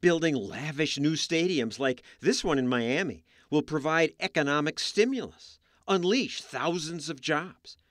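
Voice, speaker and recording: A man's voice: fairly high pitch, lively intonation, a little slow, few pauses, a bit bright, dry recording, clean studio sound. A man reading scripted news narration in a wry, emphatic voice-over. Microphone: studio voice-over.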